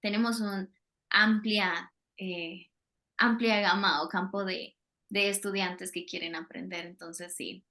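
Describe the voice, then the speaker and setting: Slow-talking Colombian woman, bright voice. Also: medium pitch, steady tone. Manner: hesitant, many fillers, laughs often. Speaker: Spanish teacher, interview guest. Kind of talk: casual interview talk.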